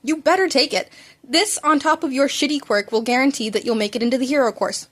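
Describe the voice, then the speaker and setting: A brash teenage boy rival, acted out by a woman reading fan fiction. The voice sits high for all its scorn. He is blunt, bossy and quick to insult. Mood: pushy, competitive. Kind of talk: fan fiction audiobook character voice.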